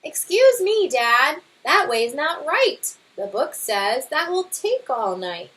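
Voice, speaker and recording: Woman reading as eager, high-voiced bear cub. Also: big pitch swings, slow pace, a bit bright, heavily acted. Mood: correcting. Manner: earnest, emphatic. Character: eager, helpful young bear scout cubs. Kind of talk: storybook read-aloud.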